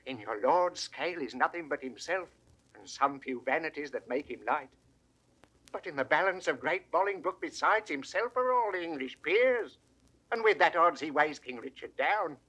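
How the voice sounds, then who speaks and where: An actor in a 1960s Shakespeare audio drama playing a grave, plain-spoken head gardener with deliberate, declamatory delivery. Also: male voice, a little high, lively intonation, pushed and bright, slightly rough, exaggerated voice, low-quality recording. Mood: apologetic, firm.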